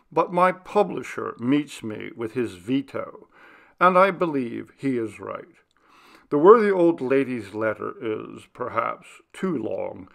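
A man narrating a literary story from a script in a measured, formal storytelling manner. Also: medium pitch, creaky. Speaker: audiobook narrator.

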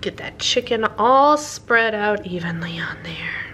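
A woman's voice: medium pitch, animated, unhurried, bright, forceful tone, a little hiss or hum. A woman narrating a casual cook-along in her home kitchen, chatty and upbeat, laughing at her own slip-ups. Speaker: home cooking vlogger. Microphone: camera over the counter, hands in frame.

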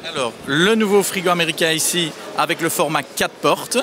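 A man's voice: fairly high pitch, moderate pace, pushed and bright, slight background noise. A man in his thirties, a sales representative explaining a product feature by feature at a trade fair stand. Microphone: handheld microphone held by interviewer.

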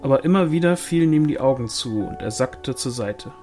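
A man's voice: mid-range voice, lively intonation, brisk, darkish tone, faint music behind. A man reading a novel aloud as scripted audiobook narration. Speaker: audiobook reader.

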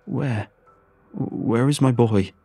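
A man's voice: lowish voice, fairly even intonation, measured pace, vocal fry, darkish tone, husky, no echo, faint music behind, exaggerated voice. A man voicing a grieving father who speaks shakily and breathlessly on the phone. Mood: desperate pleading. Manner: shaky, breathless, pleading.

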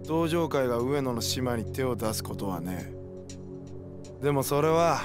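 A man playing a short-tempered young yakuza in a game cutscene, talking gruff, bossy street slang over music. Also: medium pitch, animated, unhurried, lightly acted. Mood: gruff explaining. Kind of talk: video game cutscene acting.

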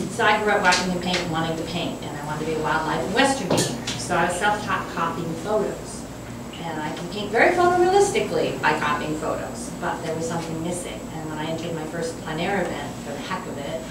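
Deep-voiced woman, echoey and distant. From North Dakota, United States. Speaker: painter on a panel. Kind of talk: informal panel talk. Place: large wooden hall with seated audience. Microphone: far camera. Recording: distant, very echoey, very noisy room recording.

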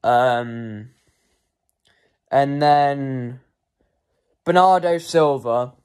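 Slow, hesitant young man rating players. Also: medium pitch, darkish tone, clean tone. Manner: slow, hesitant, frequent ums and self-corrections. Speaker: football fan vlogger. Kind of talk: post-match player ratings, casual monologue.

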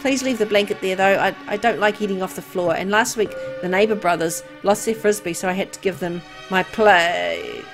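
A woman's voice: medium pitch, lively intonation, moderate pace, few pauses, faint music behind, natural voice. A woman voicing a timid, poor young boy who is hesitant, apologetic and naively eager to please, for a game. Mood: meek, rambling.

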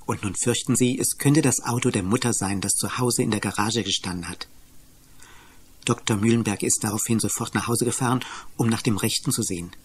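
A man's voice: lowish voice, close to an everyday voice. A man narrating a scripted radio play for children as its storyteller. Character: storyteller recapping a children's mystery. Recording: faint background noise, 1980s radio play.

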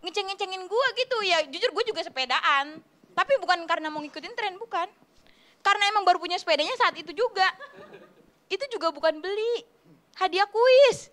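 A young woman doing a stand-up comedy routine in an animated, slangy storytelling way. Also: very high-pitched, fairly quick. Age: young adult. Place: TV studio stage, indoors. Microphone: handheld microphone.

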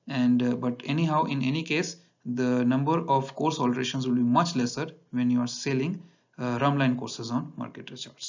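A man teaching navigation over slides in a measured lecture, often pausing with fillers. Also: mid-range voice. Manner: measured, explanatory, with frequent fillers and restarts. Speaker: navigation instructor. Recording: dull lo-fi voice-over.

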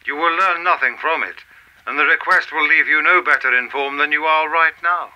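A man playing a mysterious, coldly formal messenger in a radio play, speaking in a measured, cryptic way. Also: on the high side, muffled recording, natural voice. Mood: stern, warning.

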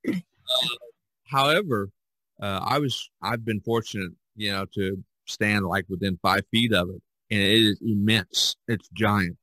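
A man hosting a podcast, talking casually about art in a rambling, halting way with frequent restarts. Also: on the low side, sharp tone, dry recording, rough recording. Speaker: podcast host discussing art. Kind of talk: casual conversational discussion.

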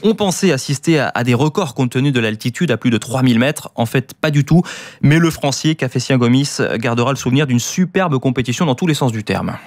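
A young man presents a scripted sports bulletin. He speaks at a middle pitch into a close microphone, and the studio sound is dry. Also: expressive, brisk, dry recording. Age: 20s. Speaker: radio sports news presenter. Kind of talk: scripted news reading. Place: radio studio. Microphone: close studio microphone with headset.

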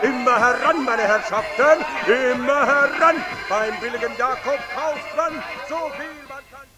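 A man playing a loud, jolly market hawker, shouting rhyming sales patter in a children's audio play. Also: very high voice, unhurried, music in the background. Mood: brash, hawking. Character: loud bargain-hawking market crier, brash and jolly. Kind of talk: children's radio play acting.

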